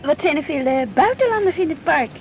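A woman's voice: high voice, expressive, fairly quick, dull audio. An actress playing an inquisitive, earnest wife and mother in a naturalistic radio play, with a Netherlands Dutch accent. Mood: reflective. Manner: questioning, earnest. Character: inquisitive, reflective wife and mother, easily piqued at her husband. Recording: noisy outdoor street ambience with traffic.

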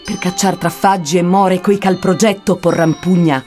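Woman as a declamatory, low-voiced prophetic poet guide over music. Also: fairly even intonation, normal speed, darkish tone, lightly acted. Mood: solemn, prophetic. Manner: declamatory, archaic rhymed delivery. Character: solemn male poet guide prophesying infernal punishments. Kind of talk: dramatic verse recitation. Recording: music behind the voice.

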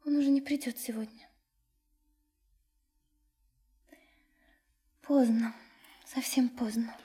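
An actress in a radio drama playing a sincere, lovesick young woman, soft and hesitant, often dropping into a breathy whisper. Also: a little high, steady tone, moderate pace. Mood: dejected, anxious. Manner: hesitant, earnest, confiding. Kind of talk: intimate radio drama acting.

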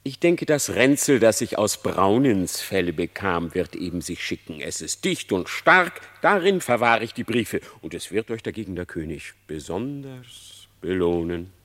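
A man playing a sly, flattering fox in a radio play, with a theatrical, wheedling, unctuous delivery. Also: low voice, unhurried, few pauses, heavily acted. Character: sly, flattering male fox, scheming husband and uncle. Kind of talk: German radio play verse drama.

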